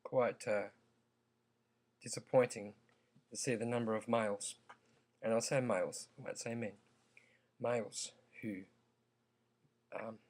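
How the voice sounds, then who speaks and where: A croaky-voiced man talks straight to his viewers at an unhurried, deliberate pace. He pauses often and fills the gaps with ums. His tone stays earnest throughout. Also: lowish voice, fairly even intonation, darkish tone. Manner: slow, halting, earnest, with many ums. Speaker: vlogger. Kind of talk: opinion vlog.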